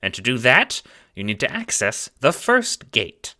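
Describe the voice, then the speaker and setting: A man's voice: medium pitch, huge pitch swings, measured pace, bright, forceful tone, pitched above the performer's own voice, full character voice. A man voicing a kindly, playful elderly grandmother spirit with sweet, folksy, theatrical delivery in an audio drama. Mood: grand, encouraging. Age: older. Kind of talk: audio drama character acting.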